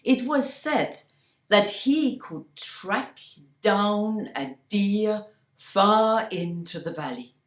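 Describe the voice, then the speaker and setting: Woman, fairy-tale storyteller, slow and deliberate, hushed whispers, echoey room. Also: medium pitch.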